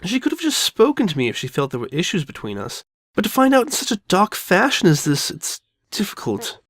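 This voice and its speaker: A man's voice: high-pitched, huge pitch swings, fairly quick, a bit bright, pitched above the performer's own voice, exaggerated voice. A man voicing an elegant, genteel female pony with refined, theatrical and formal diction in a dramatic fan fiction reading. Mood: hurt, delicate.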